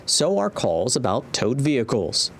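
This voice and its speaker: A man's voice: medium pitch, sing-song, a little slow. American male TV reporter narrating, faint background noise. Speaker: TV news reporter. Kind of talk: scripted news narration.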